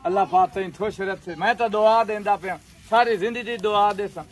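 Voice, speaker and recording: A man's voice: high-pitched, steady tone, a little fast, a little hiss or hum, lightly acted. A young man with a rural Pakistani Punjabi accent playing a stubborn goatherd suitor in a village comedy drama. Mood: earnest. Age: young adult. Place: outdoors in open village field and yard. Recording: distant outdoor camera sound.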